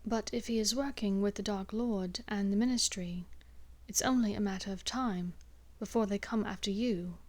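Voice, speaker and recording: A woman voicing an intense, sharp-tongued teenage boy wizard in an audiobook, urgent and commanding. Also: mid-range voice, fairly even intonation, normal speed, no room sound, lightly acted. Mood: worried warning.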